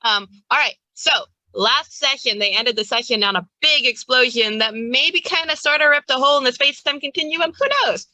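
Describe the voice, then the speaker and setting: A woman's voice: sits high, a little fast, few pauses, pushed and bright, no room sound, rough recording. A woman game master giving a casual, playful and wryly hinting recap of a tabletop game on a livestream.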